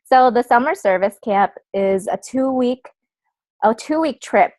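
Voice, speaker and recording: A woman's voice: fairly high pitch, expressive, measured pace, a little mellow, no echo. A young woman speaking as a guest, telling about volunteer work in a casual, chatty way full of "like" and "so". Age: young adult. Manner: chatty, uses many fillers like "like" and "so". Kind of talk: casual personal talk.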